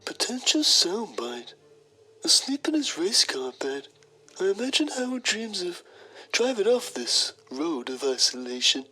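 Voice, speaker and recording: A man's voice: high-pitched, big pitch swings, a little slow, sharp tone, heavily acted, rough recording. A man playing a pompous TV journalist, narrating a mock documentary in a solemn, melodramatic manner. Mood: grave, pompous.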